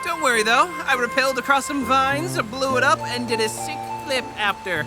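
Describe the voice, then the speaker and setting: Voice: high-pitched, moderate pace, close to an everyday voice. An actor voicing a boastful, reckless young hero in a comedic cartoon parody, full of cocky confidence. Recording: loud music behind the voice.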